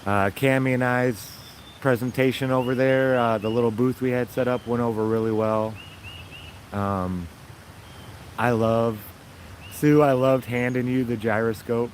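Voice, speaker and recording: Man, raspy voice, rambling upbeat vlog chat, outdoors, faint traffic behind. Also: medium pitch, steady tone, a little slow. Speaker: vlogger. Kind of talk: casual chat to viewers.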